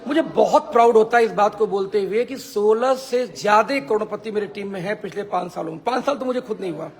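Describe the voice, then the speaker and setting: A hoarse man giving an emphatic motivational talk on a live stream as a team leader, repeating phrases for emphasis. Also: high voice. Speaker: network marketing team leader.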